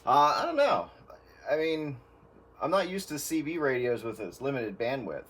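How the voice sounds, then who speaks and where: A man's voice: mid-range voice, big pitch swings, normal speed, fairly bright tone, husky. A man talking casually as a radio operator, heard over a radio link. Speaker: radio operator with studio audio background. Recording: faint noise on radio link.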